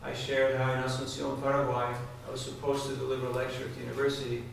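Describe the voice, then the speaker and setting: A man with a mid-pitched, gravelly voice delivers a devotional talk, turning often to his listeners with direct questions. He is recorded from a distance in a large, very reverberant hall. A faint hiss sits under the recording. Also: completely flat delivery, fast. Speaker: spiritual speaker. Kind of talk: devotional talk to a live audience. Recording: echoey hall, distant mic, faint noise.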